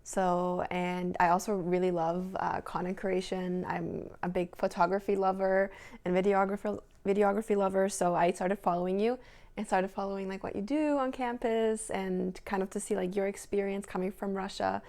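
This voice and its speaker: Fields: Sex: woman. Origin: from southern Germany. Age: 20s. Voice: creaky, vocal fry. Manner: hesitant, fillers. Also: medium pitch, fairly even intonation, moderate pace, a little mellow. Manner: hesitant, many fillers and restarts. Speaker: podcast guest. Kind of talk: casual chat.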